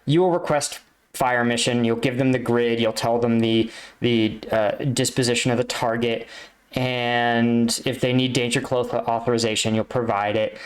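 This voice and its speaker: American man giving casual reaction commentary. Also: medium pitch. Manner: halting, thinking aloud, self-correcting. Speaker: reactor, army combat veteran.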